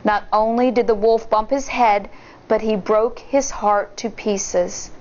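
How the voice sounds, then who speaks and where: A woman teacher reading a storybook aloud to children with deliberate, expressive delivery and pausing to comment. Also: mid-range voice, lo-fi sound, a little hiss or hum.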